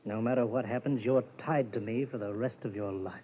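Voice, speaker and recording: An actor playing a bitter, sardonic drunken husband in a mid-century radio melodrama, brooding and taunting. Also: male voice, medium pitch, fairly quick, mellow, slight background noise, close to an everyday voice. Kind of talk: 1940s-50s radio drama acting with brooding narration. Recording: muffled vintage radio recording with music.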